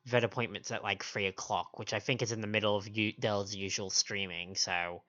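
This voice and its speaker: Sniffly man streaming, slightly low-pitched and dull-sounding. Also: steady tone, normal speed, bright, forceful tone. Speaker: game livestream host. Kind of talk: casual stream chat. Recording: dull lo-fi stream audio, faint music.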